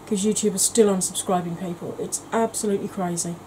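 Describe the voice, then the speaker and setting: Rambling woman, mellow voice. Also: mid-range voice, steady tone, quick pace, slight background noise, rough recording. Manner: rambling, self-mocking, soft-spoken at times. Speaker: makeup vlogger. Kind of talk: casual chatty makeup vlog. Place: indoor home room, kitchen.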